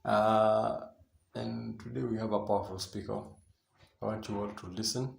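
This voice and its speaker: A fatherly, authoritative male deputy principal played by an actor gives a radio-drama assembly address. His voice is fairly low and gravelly, and he halts and repeats himself, with faint noise behind him. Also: steady tone, echoey room. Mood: advising, measured. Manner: halting, hesitant, repeating phrases.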